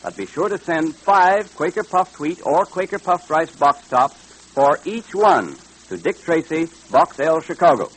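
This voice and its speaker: A man's voice: on the high side, breathy edge, rough recording. An American man announcing and selling to children in 1930s radio style, with a hearty salesman's delivery.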